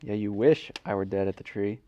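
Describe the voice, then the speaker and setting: A man co-hosting a comedy show in casual banter, with a deadpan, mock-aggrieved way of talking. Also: lowish voice, fairly quick. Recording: dull lo-fi recording.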